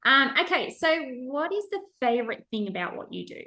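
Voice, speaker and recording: A woman's voice: high-pitched, very animated, fairly quick, bright, forceful tone. A young adult woman hosting a podcast in casual chat, easygoing and loose, laughing often. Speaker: podcast host.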